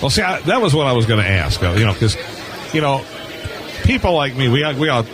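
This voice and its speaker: Folksy man chatting amid loud crowd bustle. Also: mid-range voice, very animated, a little fast, over music. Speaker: radio show host. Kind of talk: casual live interview chat about amateur radio. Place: busy hamfest exhibit hall, live booth. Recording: live from a crowded convention floor. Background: crowd noise.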